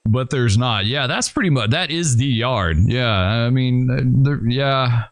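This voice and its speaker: A man hosting a gaming channel, thinking aloud and rambling with hesitations as he plans moves in a train simulator game, his voice going hoarse. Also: mid-range voice, measured pace, dry recording. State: losing his voice. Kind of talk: casual gameplay commentary.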